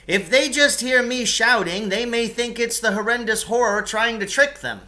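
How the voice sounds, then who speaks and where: Man putting on a high, indignant, bellowing storybook fellow. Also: moderate pace. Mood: bellowing, exasperated. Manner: loud, exclamatory, exasperated. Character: fussy, indignant, self-important man from a storybook.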